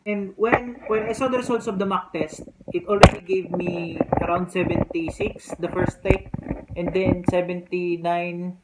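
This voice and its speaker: Filipino accent, chill practical tips vlog, talks plain and steady, noisy hiss behind the voice. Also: mid-range voice, moderate pace. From Philippines. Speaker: vlogger giving test tips. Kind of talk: casual explanatory talk to camera. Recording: clear background noise.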